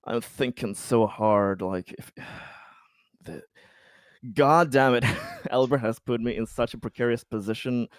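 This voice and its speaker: A man's voice: medium pitch, slow pace, no room sound. A man chatting casually as a player in a tabletop game, swearing freely.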